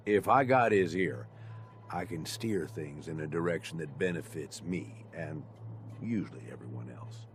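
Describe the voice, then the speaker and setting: Deep-voiced, gruff raider sidekick. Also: male voice, moderate pace, noisy background. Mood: confiding. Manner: plain-spoken, colloquial, hesitant confiding. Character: gruff, frank middle-aged raider right-hand man.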